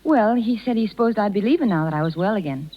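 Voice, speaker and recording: An American actress playing a sweet, hesitant young circus rider in a 1930s radio drama. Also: female voice, mid-range voice, lively intonation, quick pace, lightly acted. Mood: troubled, hesitant. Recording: dull 1930s radio recording.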